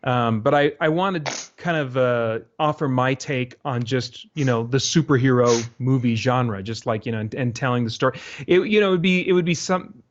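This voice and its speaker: Man thinking aloud, dull lo-fi recording. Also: mid-range voice. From North America. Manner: halting, thinking aloud with false starts. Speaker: interview guest, radio drama creator. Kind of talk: casual interview conversation. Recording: dull, lo-fi audio.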